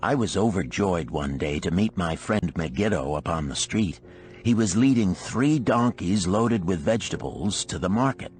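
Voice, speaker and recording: A man's voice: low-pitched, animated, normal speed, faint music behind. A man reading a story aloud as scripted audiobook narration.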